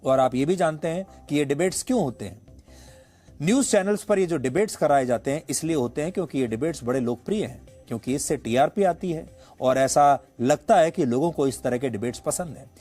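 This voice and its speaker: A man delivers scripted news commentary as an anchor. His voice sits a little high for a man, and music plays behind it. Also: lively intonation, fairly quick, warm tone. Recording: studio voice over background music. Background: background music.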